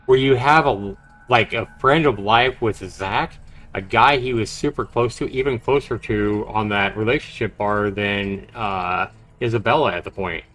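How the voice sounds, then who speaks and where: A man's voice: lowish voice, measured pace, a bit bright. A man livestreaming a horror visual novel, reading it aloud dramatically and chatting casually about it. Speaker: livestreamer playing and narrating a game.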